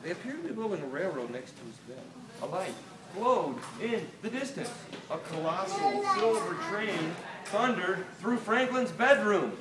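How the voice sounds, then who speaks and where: A middle-aged American man reads a children's storybook aloud with dramatic pauses, as if to young listeners. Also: high voice, big pitch swings, over a noisy crowd. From Delaware, United States. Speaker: children's author reading his book aloud. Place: inside a small toy shop. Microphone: camera a few metres away. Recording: roomy, distant, noisy phone-camera recording.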